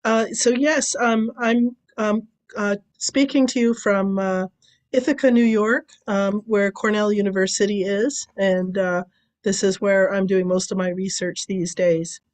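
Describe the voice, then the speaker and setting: A woman with a raspy voice, hoarse from a cold, giving a loose academic lecture over a video call, with many ums and restarts. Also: medium pitch, steady tone, a little slow, a little mellow. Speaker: guest lecturer.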